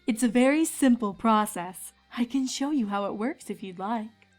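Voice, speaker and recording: Woman voicing a regal, high-voiced elder pony princess. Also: darkish tone, soft background music, natural voice. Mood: composed. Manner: measured, formal, diplomatic.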